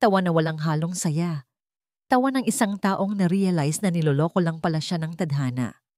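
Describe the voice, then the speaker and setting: A woman's voice: lowish voice, normal speed, mellow, dry recording, clean studio sound. A woman narrating a scripted story in a dramatic storytelling style.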